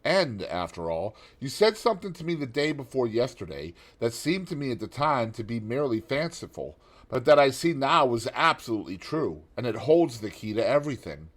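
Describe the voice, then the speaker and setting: A man voicing a worldly, cynical aristocratic gentleman in an audiobook, with a drawling, epigrammatic manner. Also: mid-range voice, big pitch swings, brisk, few pauses. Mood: languid. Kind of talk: audiobook character reading.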